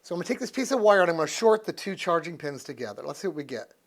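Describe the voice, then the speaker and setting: A man's voice: a little high, animated, racing. Easygoing American man in his sixties. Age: 60s. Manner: folksy, easygoing, thinking aloud. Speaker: product reviewer. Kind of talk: casual unscripted review chat to camera. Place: living room with couch, indoors.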